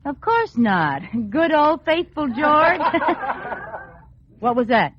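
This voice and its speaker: Pert sitcom wife: high, lively, wisecracking, noisy vintage radio sound. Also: female voice, slow, exaggerated voice. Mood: playful. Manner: perky, wisecracking. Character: pert, playful wife posing for her portrait. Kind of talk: 1940s-50s radio comedy acting. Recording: noisy, muffled old radio broadcast.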